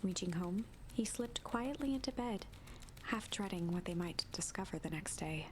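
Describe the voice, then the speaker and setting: A woman's voice: fairly low pitch, lively intonation, moderate pace, a little hiss or hum. A woman reading a video game's story aloud as dramatic storybook narration. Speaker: video game let's player and narrator. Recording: music clearly behind the voice.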